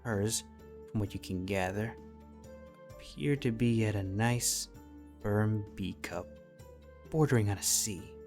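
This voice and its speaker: Male narrator, low deep pitch, scripted story reading, fan fiction audio, background music. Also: takes it slowly, pauses often, a little mellow.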